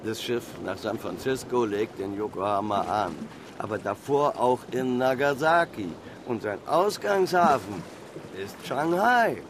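An actor playing a practical, wary harbour pilot and boat owner in a radio play, speaking in a blunt, down-to-earth way. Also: male voice, medium pitch, lively intonation, measured pace, background noise.

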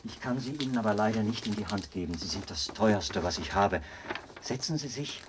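A man's voice: fairly low pitch, fairly quick, lightly acted. Zealous, pedantic officer, played by a man, noisy recording. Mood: proud, insistent. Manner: pedantic, explanatory, fervent. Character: zealous, meticulous male officer proudly explaining an execution machine. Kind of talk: German radio play acting.

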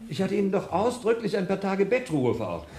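A man's voice: high-pitched, very animated, fast, gravelly, a little mellow, heavily acted. An actor in a German radio crime drama playing a firm, admonishing male doctor. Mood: firm, surprised.

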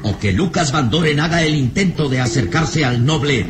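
A man's voice: a little high, animated, normal speed, noisy background. A man narrating a radio serial in a dramatic, scripted style.

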